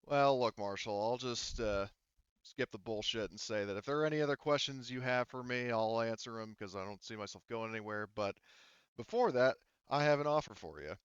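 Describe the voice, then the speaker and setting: A man's voice: mid-range voice, brisk, clear, resonant tone, dry recording, lo-fi sound, lightly acted. A man voicing a stoic, blunt captured criminal in a tabletop roleplaying game, with hesitant delivery. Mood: blunt, bargaining. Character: stoic captured male henchman leader bargaining coolly.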